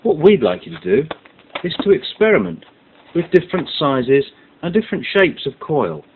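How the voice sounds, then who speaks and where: A man's voice: a little high, very animated, moderate pace. A man presenting a hands-on science tutorial, explaining each step methodically and stressing the key words. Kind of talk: step-by-step instructional demonstration. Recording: muffled lo-fi audio with background hiss.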